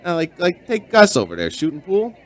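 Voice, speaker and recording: An actor in a radio drama playing a friendly, curious barkeep turned amateur sleuth, with a folksy, hesitant, probing way of talking. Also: male voice, high-pitched, wildly swooping pitch, a little fast, a bit bright, exaggerated voice. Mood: affable, nervous. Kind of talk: radio drama acting and narration.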